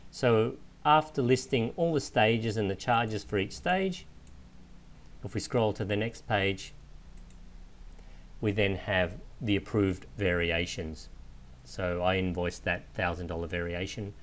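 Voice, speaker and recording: Low-pitched man narrating a software walkthrough. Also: a little slow. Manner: methodical, explanatory. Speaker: software trainer. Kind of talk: instructional screen walkthrough narration. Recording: clear steady background noise.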